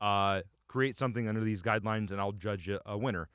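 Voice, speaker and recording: A man's voice: lowish voice, fast, fairly bright tone, clean tone, no echo. A man chatting casually with friends about making videos, joking and self-deprecating. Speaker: video producer. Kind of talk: casual group chat.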